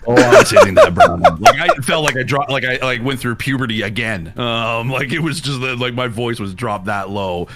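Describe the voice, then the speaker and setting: A man with a deep, smooth voice, a guest on a livestream, talking in an animated way full of fillers. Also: normal speed, few pauses, heavy background noise. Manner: animated, filler-heavy talk. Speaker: guest streamer. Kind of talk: casual chat.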